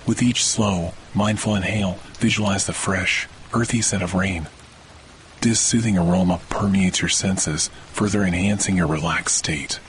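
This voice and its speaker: Male meditation host, very deep voice, slow hushed soothing read, faint rain underneath. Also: fairly even intonation, a little hiss or hum, rough recording. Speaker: meditation guide. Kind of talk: guided sleep meditation. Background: faint rain sounds.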